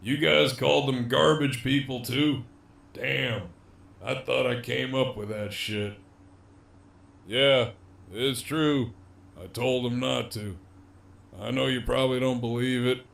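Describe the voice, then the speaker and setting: A man acting a slow, gravelly, cocky captive villain. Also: medium pitch, fairly even intonation, a bit bright. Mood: cocky, teasing. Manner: swaggering, foul-mouthed, drawling. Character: cocky, menacing, wryly amused imprisoned gang leader, adult man. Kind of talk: audio drama acting.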